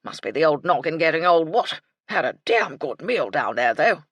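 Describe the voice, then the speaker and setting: A woman's voice: deep voice, big pitch swings, moderate pace, slightly rough, no room sound, muffled recording, exaggerated voice. A woman voicing a befuddled old retired army colonel, elderly and blustering in clipped, bumbling phrases. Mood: blustery.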